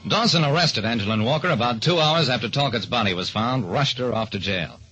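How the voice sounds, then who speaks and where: A man's voice: medium pitch, expressive, fairly quick, sharp tone, slight background noise. An actor, a man, playing a hard-boiled private detective who narrates his case in a clipped, dramatic old-radio style. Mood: brisk, sardonic. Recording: dull old-time radio recording.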